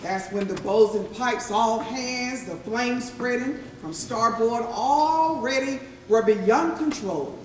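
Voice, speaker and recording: A woman's voice: on the high side, a little mellow, a little hiss or hum. A woman playing a grim ship's crewman giving a formal deposition in a solemn stage recital, picked up at a distance in an echoing room. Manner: solemn, deliberate, testifying. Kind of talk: formal dramatic verse recital, readers theatre. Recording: echoey room, distant mic, audience sounds.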